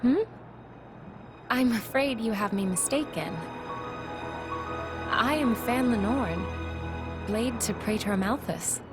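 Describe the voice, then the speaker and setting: A serene female envoy in a game cutscene. She speaks with formal, gracious politeness at a medium pitch, over prominent music. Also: slow, long pauses. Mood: gentle, polite. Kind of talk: video game cutscene acting.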